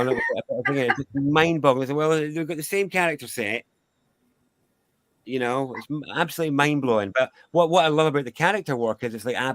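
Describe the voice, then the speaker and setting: A Scottish man talks in a casual, wandering way, circling back on himself and adding asides as he thinks aloud. His voice sits at an ordinary middle pitch and is recorded dry and close, with no room sound around it. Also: no room sound. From Scotland. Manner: musing, rambling with restarts and asides. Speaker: guest sound healer. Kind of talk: casual conversation.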